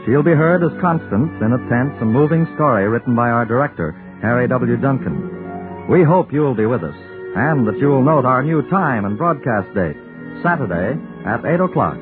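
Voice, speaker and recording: A man announcing in a scripted 1940s radio style with dramatic, measured phrasing. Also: mid-range voice, lively intonation. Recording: dull 1940s radio broadcast with music. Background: music.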